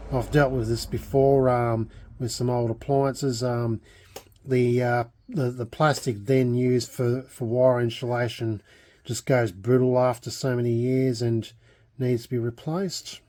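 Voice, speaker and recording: Mellow, hesitant Australian man. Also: mid-range voice. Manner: hesitant, meandering delivery with trailing thoughts. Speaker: hobbyist vlogger. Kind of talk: casual unscripted show-and-tell narration.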